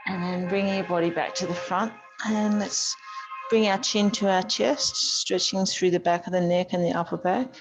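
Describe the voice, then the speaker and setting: A woman leading a gentle yoga class as the teacher, guiding with a calm, soothing, gently instructing manner. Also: fairly low pitch, moderate pace, faint music behind, rough recording.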